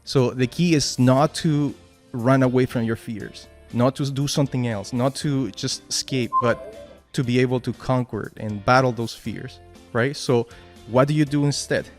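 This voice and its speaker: A man with a Spanish accent speaks at an ordinary mid pitch, leading a team meeting over a video call. He talks in halting bursts, repeating words and tagging "right?" onto his points. Faint music plays underneath. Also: fairly even intonation. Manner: halting, many restarts and "right?" tags. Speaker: team lead giving a motivational talk. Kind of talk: informal motivational talk at a work meeting.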